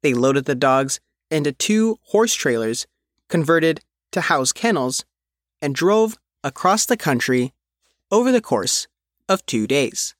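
A man reading a news article as its reporter, in measured, phrase-by-phrase scripted narration. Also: fairly high pitch, sing-song, no room sound, clean studio sound. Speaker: reporter reading their own written article.

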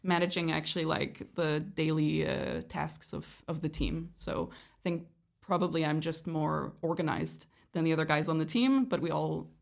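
Young woman guest raised in Canada, lowish gravelly voice, hesitant casual chat. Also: normal speed, few pauses. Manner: hesitant, many ums and restarts. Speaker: guest, project leader.

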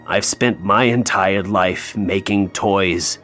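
An actor playing a bitter, grieving North Pole elf in an audio play, sarcastic and emotionally open. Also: male voice, sits low, slow, fairly bright tone, faint music behind. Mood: tender, moved.

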